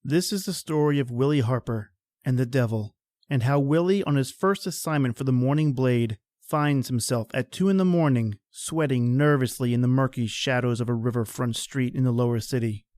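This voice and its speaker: A man's voice: mid-range voice, moderate pace, darkish tone, dry recording, studio quality. A man hosting and narrating a horror anthology in a scripted, theatrical, ominous style. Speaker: podcast host and narrator.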